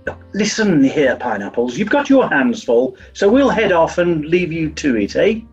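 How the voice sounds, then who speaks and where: A man playing a grumpy, dim-witted upper-class British gentleman detective, reluctant and flustered, in a 1940s radio comedy. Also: fairly high pitch, very animated, moderate pace, breathy edge, soft background music, full character voice. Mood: awkwardly polite. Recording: muffled, distant microphone.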